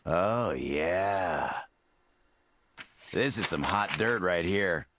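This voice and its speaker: An actor playing a shady, careless lawman in an animated video game, smug and offhand. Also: sits low, very slow, noisy background, exaggerated voice.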